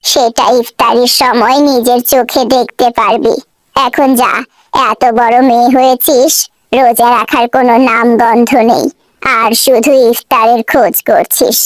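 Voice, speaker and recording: A woman's voice: a little high, fairly even intonation, unhurried, no echo, voice pushed down, natural voice. Woman acting stern, nagging cartoon mother, dry sound. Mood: dismissive. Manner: nagging, reproachful. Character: stern, scolding, exasperated mother of two. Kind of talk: children's moral cartoon dub.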